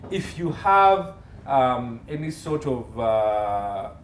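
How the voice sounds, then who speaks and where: A man's voice: mid-range voice, very animated, very slow. A Ugandan man speaking as a legal consultant in an interview, explaining points emphatically and laying them out in lists. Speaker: entertainment and media law consultant, interview guest. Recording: roomy echo, faint background noise.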